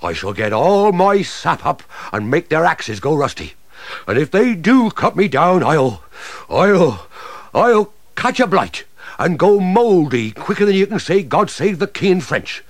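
A man's voice: fairly high pitch, sing-song, measured pace, no room sound, voice pushed up, heavily acted. A man voicing a pompous, indignant old male English oak tree, blustering and grumbling in a storybook monologue. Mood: defiant. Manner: blustering, grumbling, swings from lofty to panicky.

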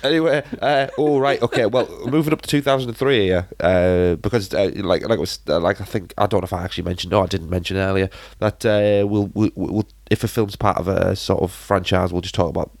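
A man's voice: low-pitched, fairly quick, few pauses, darkish tone, no echo. A British man co-hosting a casual film podcast, bluntly opinionated with a dry, sarcastic streak. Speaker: podcast co-host.

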